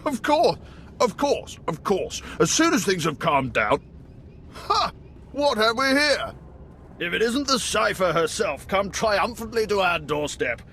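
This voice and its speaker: High-pitched, theatrical male spy chief. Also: sing-song, a little slow, bright, forceful tone, slight background noise, exaggerated voice. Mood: effusive. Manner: theatrical, effusive, gossipy. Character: excitable, wry male spy station chief, theatrically chatty. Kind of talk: video game voice acting.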